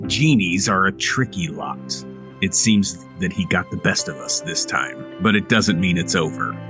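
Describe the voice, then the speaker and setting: A man's voice: low voice, expressive, measured pace, over music. A man playing a tabletop role-playing game, talking casually in character.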